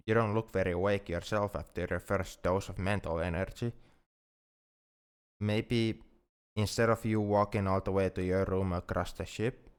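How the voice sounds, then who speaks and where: Man playing a slow, low-voiced, tender alien. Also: clean tone, natural voice. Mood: tender, sleepy. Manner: halting, repeats words, gentle. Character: shy, tender, awkward male alien in love. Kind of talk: intimate ASMR roleplay.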